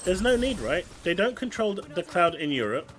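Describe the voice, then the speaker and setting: A man's voice: high voice, big pitch swings, normal speed, a bit bright. A man with a London accent chatting casually and opinionatedly while streaming a video game. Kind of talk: casual chat while gaming. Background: game music.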